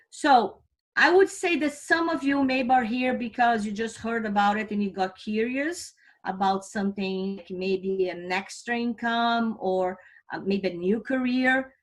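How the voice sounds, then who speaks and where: A middle-aged woman from Brazil with a Brazilian accent, giving an earnest, upbeat recruitment presentation and asking her audience questions. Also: fairly high pitch. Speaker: recruiting presenter for a financial firm.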